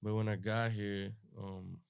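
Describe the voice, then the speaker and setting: A man's voice: lowish voice, flat delivery, a little slow, vocal fry, clean tone. A man from Colombia whose first language is Spanish, talking as a podcast guest in a laid-back, slangy way. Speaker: guest, entrepreneur. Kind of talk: casual podcast talk.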